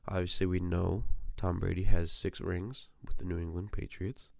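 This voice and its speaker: A man's voice: sits low, gravelly, darkish tone, dry recording, studio quality. A young man hosting a solo sports podcast, talking casually and ranting, swearing freely, sounding like he is getting over a cold. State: recovering from a cold. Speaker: podcast host. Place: small compact room.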